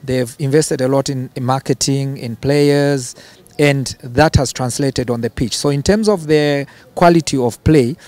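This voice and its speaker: A man's voice: mid-range voice, a little slow, darkish tone, no echo. Hesitant man in interview. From Zambia. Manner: hesitant, repeats words, chuckles between phrases. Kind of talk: casual interview answers.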